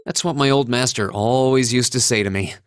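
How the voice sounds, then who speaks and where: An actor playing an earnest, modest young student in a video game, mild and plain-spoken. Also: male voice, mid-range voice, a little fast, no room sound, studio quality, natural voice. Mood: reflective. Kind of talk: English video game voice acting.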